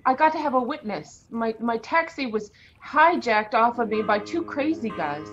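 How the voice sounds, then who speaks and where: A woman's voice: on the high side, normal speed, highs cut off, music in the background, lightly acted. A woman playing a feisty, flustered, slangy cab driver in a 1940s radio drama. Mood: agitated. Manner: slangy, excitable storytelling.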